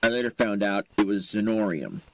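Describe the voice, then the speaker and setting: A man's voice: lowish voice, brisk, a bit bright, no room sound, voice pushed down. A man playing an earnest superhero rider in a fan audio drama, explaining and challenging. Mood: calm, explaining.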